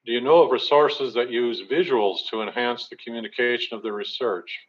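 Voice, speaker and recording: A middle-aged man moderating an online talk, reading audience questions aloud in an even, steady way. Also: medium pitch, fairly quick. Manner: steady, reads questions evenly. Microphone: webcam on a video call. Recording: slightly dull video call audio.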